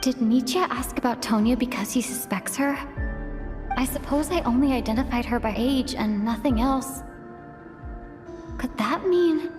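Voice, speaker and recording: A level-headed young woman traveler, voiced by an actress in a game cutscene, her voice on the high side. She reasons things through in a measured, reflective way over clearly audible music. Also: close to an everyday voice. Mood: questioning. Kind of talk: video game cutscene acting.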